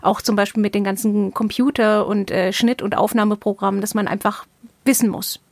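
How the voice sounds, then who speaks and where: A woman's voice: mid-range voice, steady tone, a little fast, no room sound. A woman hosting a casual interview, summing up and asking questions in informal, slightly hesitant phrasing. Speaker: interviewer, podcast host.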